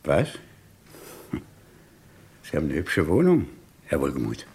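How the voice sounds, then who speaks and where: An actor playing a menacing gangster boss in a German radio crime play, mockingly courteous and smooth-talking with a gravelly voice. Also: male voice, a bass voice, huge pitch swings, darkish tone, exaggerated voice. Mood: sly persuasion. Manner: ironically polite, smooth-talking, unhurried menace.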